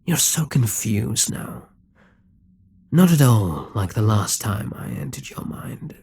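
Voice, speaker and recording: A man playing a dominant, gloating Demon King in an intimate ASMR roleplay, smug and coaxing, with a breathy, husky voice that often drops toward a whisper. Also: mid-range voice, very animated, takes it slowly, warm tone, full character voice. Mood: hypnotic, coaxing. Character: dominant, gloating male Demon King toying with a captive hero.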